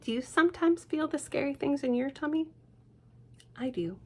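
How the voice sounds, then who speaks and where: A woman librarian reading a picture book aloud to children in a gentle, hushed manner, with whispered asides. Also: high-pitched, normal speed. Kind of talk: storytime read-aloud for children.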